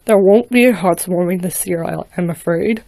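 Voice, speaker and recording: A woman's voice: normal speed, mellow, dry recording, close to an everyday voice. A woman voicing a lonely masked villain who speaks sadly and apologetically. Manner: sad, low-key, apologetic.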